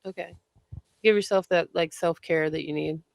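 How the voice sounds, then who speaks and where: A podcast host with a gravelly, fry-laden voice asks questions in a chatty, upbeat way, sprinkling in plenty of fillers. The sound is dry and close, with little room reflection. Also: mid-range voice, steady tone, normal speed, no room sound. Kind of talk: casual podcast interview.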